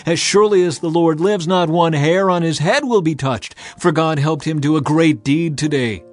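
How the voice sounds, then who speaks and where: Defiant soldiers speaking as one voice, raised and insistent, read by a male narrator. Soft music plays behind them. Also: fairly high pitch, moderate pace, voice pushed up, natural voice.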